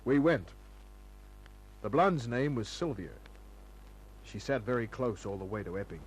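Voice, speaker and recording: An actor playing a cool, wary private detective in an old-time radio crime drama, speaking in a terse, wry, clipped way. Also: male voice, medium pitch, expressive, unhurried, slight background noise, natural voice, poor audio. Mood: wry, narrating. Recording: old radio recording with faint music.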